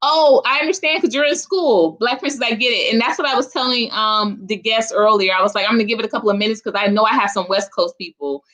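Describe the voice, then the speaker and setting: A woman's voice: fairly high pitch, fast talker, few pauses, a bit bright. A woman in her forties hosting a live stream, chatting casually and informally with her viewers as if among girlfriends. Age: 40s. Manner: chatty and informal, addresses viewers as sis and honey. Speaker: host of a live chat stream.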